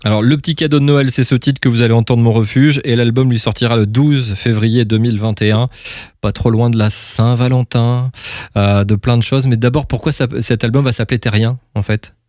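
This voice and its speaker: A man's voice: medium pitch, steady tone, a little fast, a little mellow, dry recording. Chatty male radio host, dry close sound. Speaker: radio host interviewing a guest. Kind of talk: informal radio interview.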